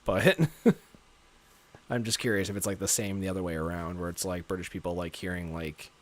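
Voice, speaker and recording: Tiefe, lässige nordamerikanische Männerstimme. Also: male voice, little pitch movement, moderate pace. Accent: North American. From North America. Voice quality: low natural voice, chill voiceover tone. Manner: rambling, many "like" fillers, laughs often. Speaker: streamer. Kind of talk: casual livestream chat.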